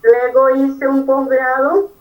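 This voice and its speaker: A woman's voice: sits high, flat delivery, takes it slowly, a little mellow, far from the mic, rough recording. A Venezuelan woman in her fifties, an engineer answering interview questions in earnest detail, often checking in with "¿verdad?". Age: 50s. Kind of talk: conversational interview.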